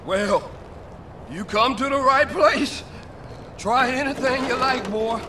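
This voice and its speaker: An actor playing a muddled, irritable old gun dealer in a video game, with halting, confused delivery. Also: male voice, very high-pitched, background noise. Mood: obliging. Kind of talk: video game cutscene acting.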